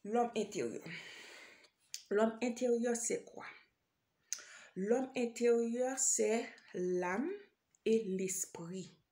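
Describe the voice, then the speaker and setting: A woman's voice: on the high side, extremely slow, long pauses, warm tone. A woman from Haiti with a Haitian accent, preaching an informal sermon straight to the listener, hesitant, full of fillers and repeated phrases. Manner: addresses listener directly.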